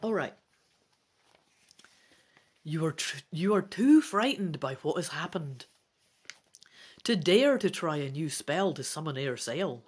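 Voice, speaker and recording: A woman's voice: sits low, big pitch swings, slow, long pauses, slightly rough. A woman hosting a gamebook playthrough, reading dramatically and adding informal, self-deprecating asides. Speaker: host of a gamebook let's play.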